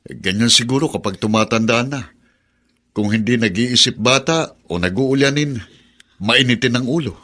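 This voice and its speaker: An actor playing a concerned, respectful adult son in a radio drama, earnest and pleading. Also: male voice, mid-range voice, normal speed, bright, forceful tone.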